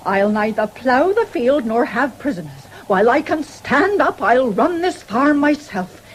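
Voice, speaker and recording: An actress in a 1970s radio drama playing a stern, stubborn farming widow who is blunt and forthright. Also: female voice, high-pitched, big pitch swings, a little slow. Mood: indignant, defiant. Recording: noisy old radio drama recording.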